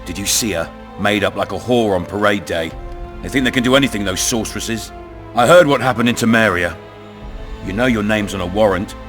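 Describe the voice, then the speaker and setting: An actor with a British accent playing a crude, cunning, menacing town commandant in a video game. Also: male voice, fairly low pitch, a little fast, fairly bright tone, faint music behind, close to an everyday voice. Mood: swaggering menace. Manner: domineering, coarse, swaggering. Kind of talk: video game dramatic dialogue.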